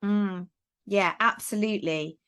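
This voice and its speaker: A woman's voice: mid-range voice, a little slow, a bit bright, clean tone. A middle-aged woman from Wales, talking casually as a guest on a video call, with lots of fillers and restarts. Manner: chatty, many fillers and restarts. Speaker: guest, parenting coach. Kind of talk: casual interview chat.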